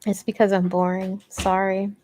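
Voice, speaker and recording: A woman with a soft voice, hosting a casual craft livestream in a rambling, playful, self-deprecating way as she answers viewer comments. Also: medium pitch, monotone, moderate pace. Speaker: host of a crochet livestream.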